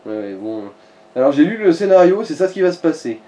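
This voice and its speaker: A man's voice: on the high side, very animated, a little fast, mellow, distant mic. A man giving casual co-commentary on a video game, explaining things and interjecting asides. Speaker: co-commentator helping the player. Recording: faint background noise.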